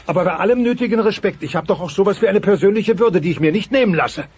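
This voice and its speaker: An actor playing a blustering, easily bought dinosaur dad in a comedy radio play, comically earnest, recorded on a dull, noisy cassette. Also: male voice, high-pitched, expressive, fast, darkish tone, husky. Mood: haggling. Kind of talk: German-dubbed comedy radio play acting.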